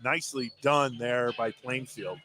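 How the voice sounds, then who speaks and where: A man with a medium-pitched voice works as color commentator at a basketball game. He gives live radio analysis of the plays, with clear music behind him in the gym. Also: measured pace, pushed and bright.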